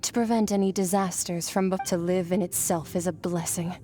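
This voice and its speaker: A woman playing a calm, detached, otherworldly warrior in a video game, speaking in an unhurried, musing way. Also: lowish voice, faint music behind, lightly acted. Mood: matter-of-fact.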